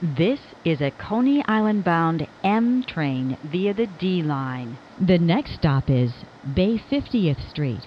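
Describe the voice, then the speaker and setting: A woman with a slightly low voice delivers formal recorded subway announcements. Her pace is very slow and measured, each word clearly enunciated, with lively rises and falls in pitch. The recording sounds dull and lo-fi. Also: slight background noise. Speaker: automated subway train announcer. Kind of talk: scripted transit announcement.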